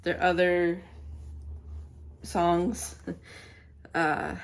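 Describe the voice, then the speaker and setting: A woman sits at home chatting straight into her camera mic, her voice low and a little stuffy and nasal. She rambles, pausing and hunting for words as she goes. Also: level tone. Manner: rambling and halting, many fillers. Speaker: channel host. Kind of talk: casual to-camera vlog chat.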